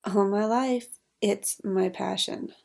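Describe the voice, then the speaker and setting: A woman's voice: medium pitch, steady tone, slow, gravelly, a little mellow. A woman playing a genteel, evasive lounge singer in her thirties in a noir audio drama, polite and theatrical. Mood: politely evasive.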